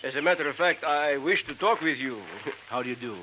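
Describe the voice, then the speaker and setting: A man's voice: a little high, brisk, natural voice. An actor playing a sinister, scheming male professor with polished, formal diction, in 1950s radio drama acting. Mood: smooth. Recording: dull old radio recording, faint hiss.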